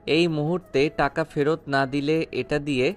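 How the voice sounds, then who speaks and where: A man's voice: on the high side, fairly even intonation, normal speed, lightly acted. A man playing a ruthless gangster moneylender in an audio story, cold and taunting with a hard edge. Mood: cold, threatening. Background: background music.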